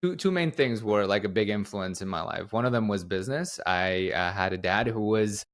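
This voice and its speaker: Lowish-voiced man from Guatemala, rambling. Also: animated, moderate pace. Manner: rambling, many fillers and restarts. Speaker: company CEO, podcast guest. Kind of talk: casual interview answers.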